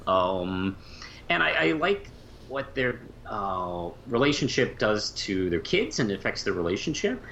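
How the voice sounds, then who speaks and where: A man co-hosting a podcast in a casual fan discussion, speaking haltingly and often repeating words as he goes. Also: sing-song, creaky, a bit bright. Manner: halting, trailing off mid-phrase and repeating words. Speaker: podcast co-host. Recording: muffled low-fi mic with faint hiss.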